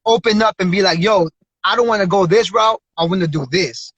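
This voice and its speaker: An American man with a high, bright voice, chatting as a podcast host in informal slang. The sound is very dry and a little dull. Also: fairly quick, breathy edge, dry recording. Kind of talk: casual conversational interviewing.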